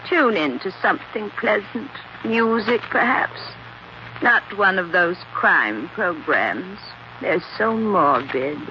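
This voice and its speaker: A woman's voice: medium pitch, animated, slow. An actress playing a genteel, sinister married woman with a prim, affected manner in an old radio drama. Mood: prim, fretful. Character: genteel, sinister married woman feigning delicate sensibility and grief. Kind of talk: 1940s radio acting. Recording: noisy, muffled 1940s radio recording.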